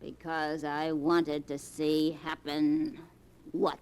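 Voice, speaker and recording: A woman's voice: low-pitched, flat delivery, slow, darkish tone, clean tone. An actress playing a scheming, mocking old grandmother in a 1940s radio drama, with a dry cackling delivery, on an old radio recording. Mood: sly, mocking. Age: older.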